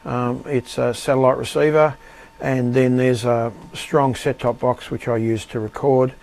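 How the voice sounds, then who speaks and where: A man giving an unscripted show-and-tell of his projects as an amateur radio operator, speaking in a halting, matter-of-fact way. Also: mid-range voice, little pitch movement, vocal fry, darkish tone. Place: indoor home radio shack.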